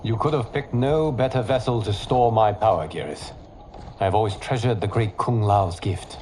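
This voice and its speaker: A low-ish, gentle voice of a thoughtful god, played by a man, measured and earnest. Steady background noise and ambience sit under the lines. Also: close to an everyday voice. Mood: reflective. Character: humble thoughtful male god, leader. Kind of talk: video game cutscene acting. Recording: game audio with noisy ambience.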